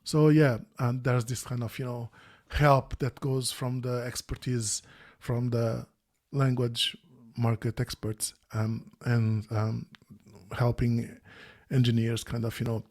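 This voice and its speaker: A man's voice: mid-range voice, gravelly, darkish tone. A man, a guest on a video call interview, speaking thoughtfully with many restarts and fillers. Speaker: interview guest, former search quality analyst.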